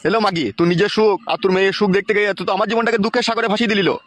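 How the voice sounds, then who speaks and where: A man's voice: high voice, fairly even intonation, rapid-fire, fairly bright tone. A man voicing an aggrieved, lovesick aging villager in a comic cartoon, complaining melodramatically. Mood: heartbroken. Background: background music.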